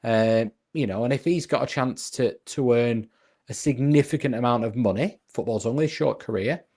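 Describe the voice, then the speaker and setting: A man co-hosting a casual football podcast, reasoning his points out loud with frequent restarts. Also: medium pitch, moderate pace, husky. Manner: earnest, reasoning aloud with restarts.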